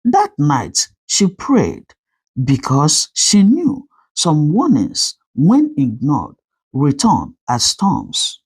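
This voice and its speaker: Woman, folktale narration, measured and dramatic, unhurried, highly expressive intonation. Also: slightly rough. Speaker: storyteller. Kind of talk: scripted storytelling narration of a folktale.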